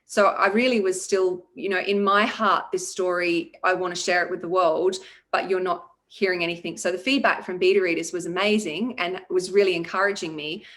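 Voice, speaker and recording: A woman in her forties chats as an interview guest about her writing life. She talks in a reflective, easygoing way with plenty of ums, her voice sitting a little low. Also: fairly even intonation, brisk, a bit bright. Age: 40s. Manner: reflective, chatty, many ums. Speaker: debut novelist guest. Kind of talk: conversational interview.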